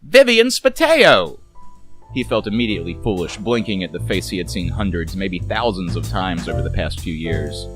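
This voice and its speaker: Low-pitched man narrating noir fiction. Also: a little fast, few pauses, faint music behind. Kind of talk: scripted noir story narration.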